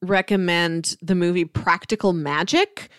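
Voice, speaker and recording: A woman's voice: fairly low pitch, steady tone, unhurried, smooth, dry recording, clean studio sound. A woman co-hosting a podcast, chatting casually about films in a conversational, unscripted way. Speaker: podcast co-host reviewing films. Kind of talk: casual conversational film chat.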